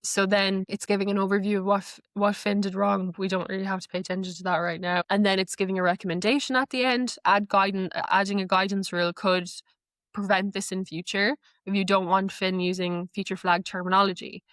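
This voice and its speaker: A woman explains a piece of software in a conversational walkthrough. The sound is dry, with very little room around her voice. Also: medium pitch, level tone, brisk, no room sound. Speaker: guest, AI knowledge manager.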